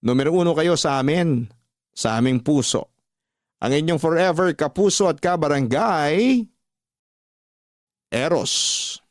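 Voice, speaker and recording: A Filipino-accented man narrates as a radio storyteller. His voice sits a little high for a man. He reads scripted material into a close studio mic, with a very dry sound. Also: lively intonation, measured pace, long pauses, no echo. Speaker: radio drama host and storyteller. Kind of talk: scripted narration of listener letters.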